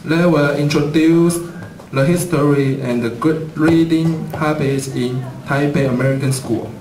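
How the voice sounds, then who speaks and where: A man with a Taiwanese accent co-hosts with careful, measured speech at an unhurried pace. His voice sits a touch high. He sounds distant in a roomy space, with a crowd audible behind him. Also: fairly even intonation, noisy background. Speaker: co-host of a student English corner. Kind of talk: scripted event hosting. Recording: distant, echoey room with crowd.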